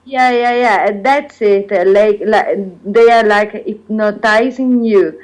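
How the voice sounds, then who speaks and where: A woman with an Italian accent, telling her own story as an interview guest, pausing often to search for English words. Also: mid-range voice, rough recording. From Italy. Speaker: guest sharing personal experience. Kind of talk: earnest personal account in interview.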